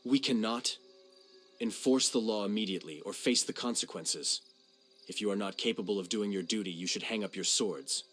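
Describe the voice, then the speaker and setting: Stern lordly commander, lowish voice. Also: male voice, moderate pace, fairly bright tone, soft background music. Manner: authoritative, severe. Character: stern, ruthless lord commander of a holy army. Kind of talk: dramatic video game cutscene acting.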